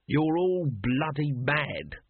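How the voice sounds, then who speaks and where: A man's voice: mid-range voice, lively intonation, moderate pace, slightly rough, no echo, phone-quality sound. A man voicing a weary, cynical and outraged city guard captain in an audiobook, with an exasperated manner. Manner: exasperated, shouting and muttering.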